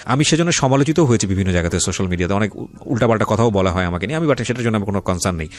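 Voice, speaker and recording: A man with a Bangladeshi accent hosting a radio interview, speaking emphatically, repeating phrases and mixing in English words. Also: medium pitch. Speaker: radio host. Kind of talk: radio interview and commentary on life stories. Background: faint music.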